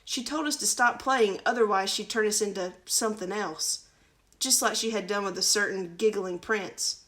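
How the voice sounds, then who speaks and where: A woman voicing a gossipy storybook cat in an animated, tale-telling manner. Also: medium pitch, moderate pace, close to an everyday voice.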